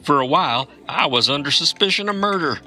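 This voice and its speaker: An actor playing a world-weary Kentucky trucker in an audio drama, telling stories in a rustic, profane drawl. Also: male voice, fairly high pitch, lively intonation, a little fast, bright, forceful tone, soft background music. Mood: uneasy recounting. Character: folksy Kentucky trucker, world-weary man haunted by his past.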